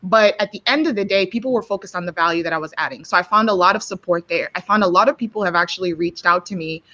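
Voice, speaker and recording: A woman's voice: on the low side, fast, sharp tone. A woman from Nigeria and Kenya, a guest chatting casually and upbeat on a live online show. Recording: dull lo-fi sound.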